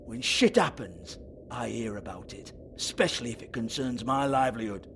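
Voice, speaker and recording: An actor playing a sleazy, foul-mouthed strip club owner with a British accent in a video game, mocking and volatile. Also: male voice, medium pitch, animated, moderate pace, fairly bright tone, a little hiss or hum. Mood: defensive, dismissive. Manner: sneering, taunting, swearing.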